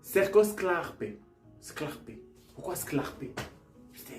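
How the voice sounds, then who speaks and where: A man's voice: sits high, lively intonation, drawn-out words. A young man vlogging casually, joking at his own expense and repeating phrases as he tries them out. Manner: playful, self-mocking, repeats phrases over and over. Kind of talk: casual vlog, trying out language-app phrases. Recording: echoey room with faint music.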